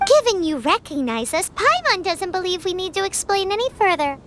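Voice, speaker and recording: A woman voicing a tiny, chirpy, blunt fairy companion with a squeaky childlike voice in an anime-style game. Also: big pitch swings, brisk, fairly bright tone, slight background noise, full character voice. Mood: curious. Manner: speaks of self in third person, exclamatory.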